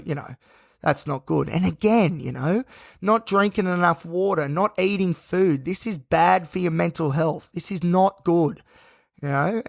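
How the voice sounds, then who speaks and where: A man hosting a solo podcast, talking in a rambling, tired, self-reflective way with a croaky, hoarse voice. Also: a little high, no echo, clean studio sound. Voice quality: gravelly. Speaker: podcast host.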